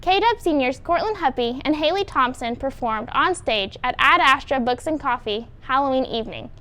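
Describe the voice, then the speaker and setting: A woman's voice: sits high, expressive, normal speed, bright, forceful tone. A woman reading scripted links as the anchor of a student newscast.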